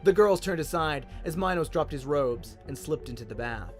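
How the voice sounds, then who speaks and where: A man's voice: a little high, expressive, normal speed, faint music behind. A man with an Australian accent giving a scripted, dramatic narration of a story. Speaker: audiobook narrator.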